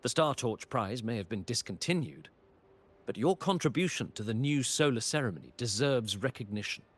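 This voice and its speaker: A man playing an absent-minded, excitable engineering professor in a video game, hesitant, rambling and earnestly polite. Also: medium pitch, moderate pace, breathy edge. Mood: measured, serious. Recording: game music behind the voice.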